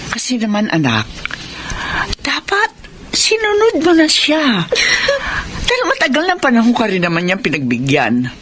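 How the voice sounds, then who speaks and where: A woman's voice: on the high side, wildly swooping pitch, moderate pace, long pauses, a bit bright, slight background noise. An actress playing a worried middle-aged mother in a Filipino radio drama, chiding her grown child with concern.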